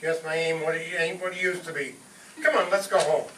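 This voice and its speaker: A man's voice: fairly high pitch, fairly even intonation, brisk, a bit bright, lightly acted. A man playing a hot-headed, scheming father in an old-time radio comedy, pompous, indignant and theatrical. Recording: roomy distant stage recording, faint noise.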